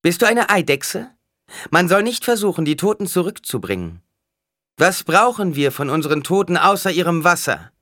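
A man voicing a stern, cryptic prophetess in an audiobook, intoning solemnly with a sharp edge. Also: mid-range voice, lively intonation, measured pace, no room sound, studio quality, voice pushed up. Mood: sharp, scornful.

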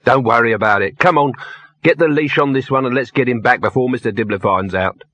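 A man's voice: medium pitch, a little fast, a bit bright, natural voice. A man voicing an irritable, uneasy working animal trainer in a comic fantasy audiobook. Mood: wary, annoyed.

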